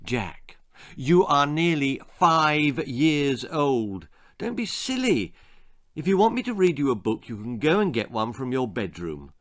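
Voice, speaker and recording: A man's voice: mid-range voice, sing-song, unhurried. A man voicing an exasperated mother of a small boy in a children's story, curt, scolding and firm.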